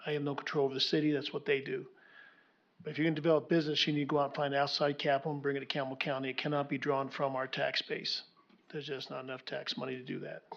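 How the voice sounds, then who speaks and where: A man, a county commissioner, giving measured, plainspoken remarks at a meeting, pausing with frequent ums. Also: fairly high pitch, fairly bright tone. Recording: dull lo-fi meeting recording.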